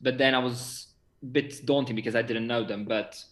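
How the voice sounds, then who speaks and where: A man's voice: mid-range voice, steady tone, moderate pace. A young man chats as a theatre director being interviewed. His manner is effusive and enthusiastic, and the sound is that of a video call from an echoey room. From Portugal. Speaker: guest, theatre director and actor.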